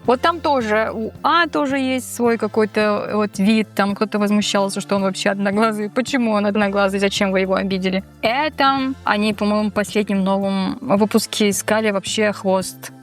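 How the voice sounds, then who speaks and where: A woman co-hosting a show in casual, animated, joking conversation, speaking colloquially. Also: mid-range voice, normal speed, few pauses, faint music behind. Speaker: co-host of a Tatar language-learning show. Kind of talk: casual playful chat and quiz banter.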